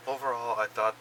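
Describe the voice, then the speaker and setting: A man with a cold, hoarse and coughing, casually rambling through a recap of the films and shows he watched. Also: medium pitch, distant mic, heavy background noise. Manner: rambling, many fillers and restarts. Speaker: vlogger reviewing films and shows.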